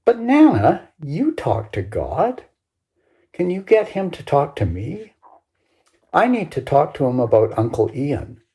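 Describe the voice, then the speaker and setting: A woman's voice: low voice, huge pitch swings, a little slow, dark tone, heavily acted. An older woman voicing a grieving young grandson in a story, pleading insistently with his grandmother.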